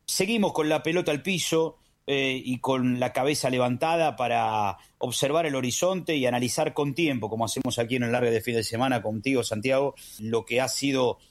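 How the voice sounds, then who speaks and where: A man with an Argentine accent hosting a sports show, analysing football in an emphatic, rhetorical manner with deliberate pauses. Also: mid-range voice. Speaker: sports radio host.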